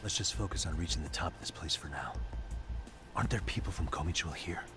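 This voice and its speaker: A man playing a cool, weary private detective in a video game, speaking in a measured, wry, understated way. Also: rumbling low. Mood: calm, focused. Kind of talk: English game dub acting. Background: loud game music.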